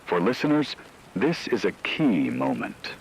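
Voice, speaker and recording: A man playing a grave radio anchor, delivering a scripted emergency war broadcast in measured, solemn, formal tones. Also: mid-range voice, lively intonation, creaky, slight background noise, low-quality recording. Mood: grave, urgent.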